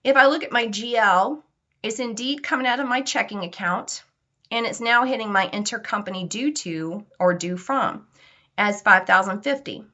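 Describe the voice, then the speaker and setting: Female software trainer, bright forceful voice, conversational step-by-step delivery, slightly dull lo-fi recording. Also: on the high side, normal speed. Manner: conversational, instructive. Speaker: software instructor. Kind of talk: informal step-by-step software tutorial.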